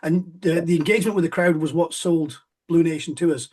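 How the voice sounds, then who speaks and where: A man's voice: on the high side, fairly even intonation, brisk, darkish tone. A man hosting a casual interview, friendly and rambling, with plenty of fillers. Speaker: podcast host.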